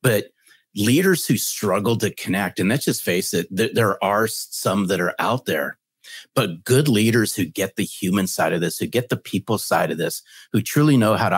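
A man's voice: on the low side, slightly rough, no room sound, clean studio sound. A middle-aged man co-hosting a podcast over a video call, making points emphatically and repeating them for stress. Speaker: podcast co-host. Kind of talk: podcast interview chat. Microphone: video call with headset.

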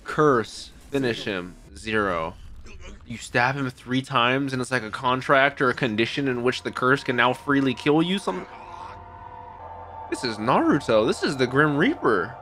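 A young man reacting out loud to an anime episode, exclaiming and swearing casually into a boom mic in a home streaming room. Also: animated, a little slow, soft background music. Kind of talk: excited reaction commentary. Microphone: boom mic with headphones. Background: anime soundtrack playing.

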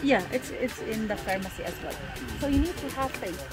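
A woman's voice: a little high, lively intonation, a little fast. A woman, working as a tour guide, explaining a monument and asking the group questions. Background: background music.

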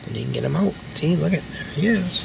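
A man picking nightcrawlers in his backyard at night, talking casually to a handheld camera as he works and getting excited over the big ones. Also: fairly high pitch, quick pace, vocal fry. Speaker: backyard gardener and angler. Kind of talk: casual narration while working. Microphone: handheld camera mic. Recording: noisy outdoor night recording.

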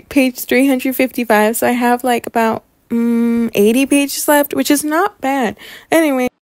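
A woman's voice: high voice, no room sound, clean studio sound. A young woman narrating a vlog casually, in a chatty, informal way full of filler words. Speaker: vlogger.

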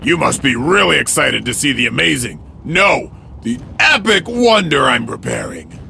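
An actor playing a huge, boastful turtle-monster villain in a video game, gravelly, grandiose and gloating. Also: male voice, medium pitch, sing-song, normal speed, slight background noise, full character voice. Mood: taunting showman. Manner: gloating, grandiose, mock-generous showmanship. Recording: loud game music under the voice.

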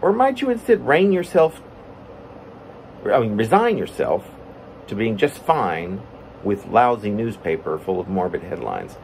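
Middle-aged man reading poetry, expressive pitch swings. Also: vocal fry. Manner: halting, self-interrupting delivery. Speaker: poet reading own work. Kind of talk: informal poetry reading with asides. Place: plain room at home, indoors. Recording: steady room hum, air conditioning.

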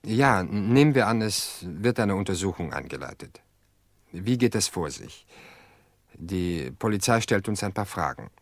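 An actor playing a calm brother-in-law in a radio drama, soothing and dismissive in a measured way. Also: male voice, low-pitched, no room sound, clean studio sound. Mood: probing. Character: calm, reassuring brother-in-law, reasoning away suspicion.

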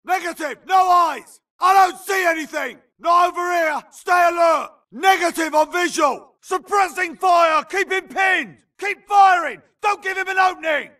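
Video game soldier yelling urgent clipped battle callouts, bit off mic. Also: male voice, very high-pitched, far from the mic, heavily acted. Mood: commanding, urgent. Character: gruff, urgent infantry grunt soldier in battle. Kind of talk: shouted combat barks.

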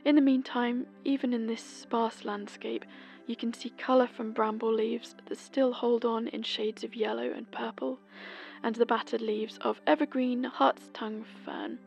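A woman from Britain, host of a folklore podcast, reading a scripted seasonal almanac in a gentle, whispery way. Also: fairly high pitch, little pitch movement, moderate pace, gravelly, soft background music.

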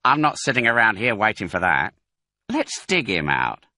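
A man's voice: lowish voice, big pitch swings, moderate pace, sharp tone, no room sound, studio quality, exaggerated voice. A man playing a scheming, stubborn farmer with a hard, decisive manner in a children's storybook reading. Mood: grimly determined.

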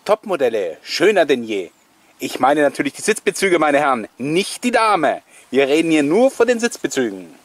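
A man's voice: fairly high pitch, very animated, moderate pace, no room sound. A middle-aged man giving casual, nostalgic commentary in a chatty, jokey, reminiscing way, as host of a collectors' video series.

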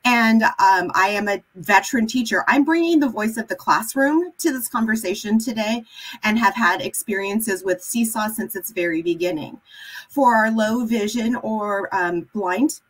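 A woman's voice: mid-range voice, moderate pace, poor audio. A middle-aged woman, a veteran teacher, introducing herself cheerfully and with a spunky manner on a video call. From Northern California. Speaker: digital education coordinator and veteran teacher. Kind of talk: workshop introduction. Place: home office on a video call.

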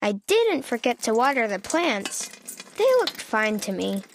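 A high-voiced woman playing a little boy critter tells a children's storybook. The delivery is earnest and childlike, with lively swings in pitch and simple, excuse-making innocence. There is some noise behind the voice. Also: measured pace. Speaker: storybook narrator. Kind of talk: expressive children's read-aloud in a young child character's voice.

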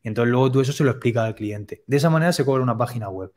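A young man calmly explaining pricing step by step on a video call, headset mic in a home room. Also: medium pitch. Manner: plain, step-by-step explanations with quick asides. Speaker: web development teacher, guest expert.

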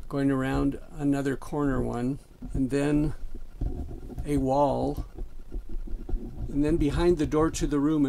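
An older man with a gravelly, dark voice gives a casual, unhurried walkthrough, pausing with the odd "uh." It sounds like it was picked up on a handheld camera mic in a small wood-paneled basement room. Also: mid-range voice, a little hiss or hum, low-quality recording. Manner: plain, unhurried explaining with fillers. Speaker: hobbyist showing his home music room.

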